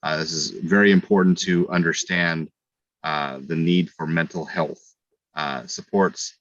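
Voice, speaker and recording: A middle-aged man serving as a school board trustee, giving halting remarks with repeated words at a public meeting. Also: deep voice, steady tone, fairly bright tone, dry recording, lo-fi sound.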